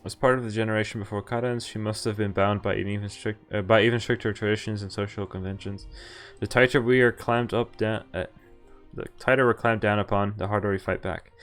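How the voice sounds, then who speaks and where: Man, low pitch, game streamer, casual commentary, reading text aloud, wry offhand asides, faint game music. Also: steady tone, brisk, a bit bright. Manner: wry offhand asides between read lines. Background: visual novel music.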